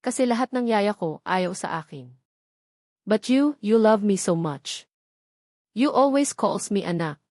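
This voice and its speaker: A woman's voice: mid-range voice, lively intonation, measured pace, long pauses, darkish tone, no room sound, studio quality. A woman with a Filipino accent voicing an affectionate, inquisitive little boy in a story, with childlike phrasing. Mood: curious. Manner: mixes English and Tagalog, childlike phrasing. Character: little boy, affectionate, inquisitive, wistful about his mother.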